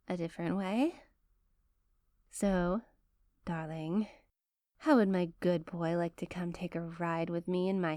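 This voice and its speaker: Casting: female actor as spoiled, obsessive girlfriend, young, sugary and coaxing, clingy, mid-pitched, for dry close ASMR roleplay. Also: darkish tone, dry recording, lightly acted. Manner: coaxing, gushing, theatrically sweet, swinging into flustered pleading. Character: rich, clingy, possessive young girlfriend doting on partner.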